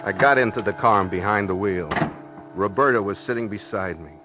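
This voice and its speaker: A man's voice: on the low side, fairly quick, music in the background, lightly acted. An actor playing a tense, defiant man held at gunpoint, who also narrates in terse slang, in 1950s radio thriller style. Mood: wry, recounting. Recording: dull, noisy 1950s radio recording.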